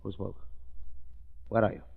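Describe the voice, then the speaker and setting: A man's voice: unhurried, close to an everyday voice. An actor playing a deranged, grandiose villain in a 1930s radio drama, explosive and accusing in his delivery. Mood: defiant. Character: deranged, raging male villain with grandiose delusions. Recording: muffled 1930s radio recording, long reverb.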